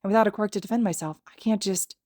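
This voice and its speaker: A woman's voice: mid-range voice, fast, darkish tone, no room sound, clean studio sound, voice pushed up, lightly acted. A woman voicing a timid, anxious, bullied schoolboy with a hesitant stammer in an audiobook-style story.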